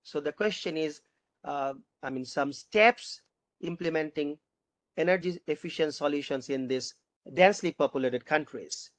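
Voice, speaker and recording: A panel moderator reading out audience questions, haltingly, often repeating and rephrasing. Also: mid-range voice, no room sound.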